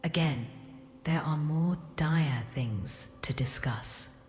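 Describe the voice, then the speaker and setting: A ghostly ancient goddess for a fantasy audio drama, solemn and imperious. Her voice is strikingly deep and unhurried, washed in long cavernous reverb. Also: a little mellow. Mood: regal, measured. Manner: solemn, formal, deliberate, regal. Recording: cavernous reverb, faint music.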